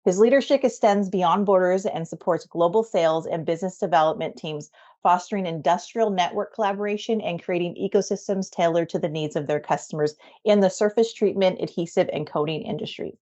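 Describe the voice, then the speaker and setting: A woman's voice: lowish voice, a little fast, dark tone, muffled recording. A woman hosting a podcast, reading out a guest introduction and then chatting casually. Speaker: podcast host.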